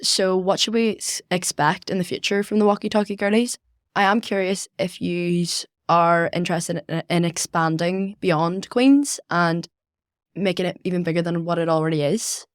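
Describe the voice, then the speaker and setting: A woman's voice: lowish voice, fairly even intonation, moderate pace, smooth, no echo, clean studio sound. A young woman, hosting a conversational podcast interview. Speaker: podcast host.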